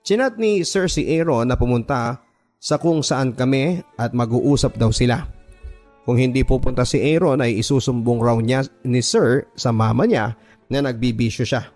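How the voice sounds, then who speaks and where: A man's voice: medium pitch, darkish tone. A man narrating a scripted first-person story in a dramatic radio style, with background music.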